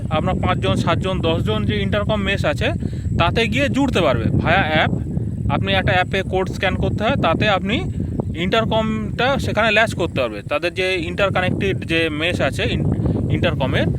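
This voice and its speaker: A man's voice: sits high, expressive, moderate pace, heavy background noise. A man giving a casual, explanatory product review, speaking conversationally to his viewers.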